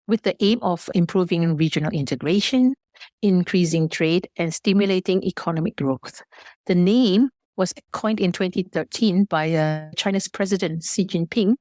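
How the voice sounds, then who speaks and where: Female business guest from Malaysia, lowish voice, formal explanatory delivery, dry close sound. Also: darkish tone, no room sound. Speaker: podcast guest, business partner and founder. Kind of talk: prepared, explanatory interview answers.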